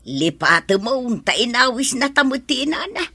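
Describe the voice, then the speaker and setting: A woman's voice: fairly high pitch, big pitch swings, moderate pace, sharp tone, breathy edge, no echo, exaggerated voice. A woman with an Ilocano accent acting as a nosy, skeptical middle-aged mother in a radio drama. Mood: concerned.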